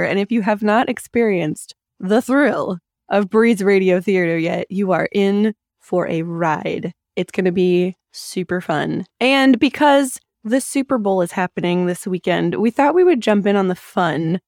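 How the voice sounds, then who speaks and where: A woman's voice: medium pitch, measured pace, a little mellow, no room sound. A woman hosts a chatty podcast from home. She sounds upbeat and eager as she introduces things. The sound is close and dry. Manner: upbeat and enthusiastic. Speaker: podcast co-host. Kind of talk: casual chat.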